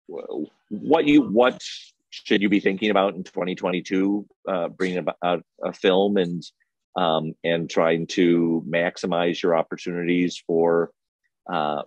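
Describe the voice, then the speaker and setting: A man speaking off the cuff as a panel guest, halting and feeling for his words. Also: sits low, a little mellow, no echo, muffled recording. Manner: halting and hesitant, groping for words. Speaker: film festival programmer and panel guest. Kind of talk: unscripted panel conversation. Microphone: video call.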